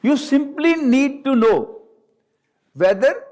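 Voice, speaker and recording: A male Arabic teacher, not a native English speaker, giving a classroom lecture slowly and deliberately, repeating points and checking understanding. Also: extremely high pitch, animated, darkish tone. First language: not English; Urdu is his second language.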